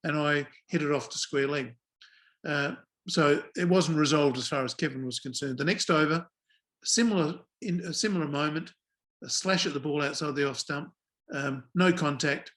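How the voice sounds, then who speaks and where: An older man, a guest telling anecdotes in a relaxed podcast interview, with dry understated humour and measured pauses. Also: a little high. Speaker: guest, former cricket umpire.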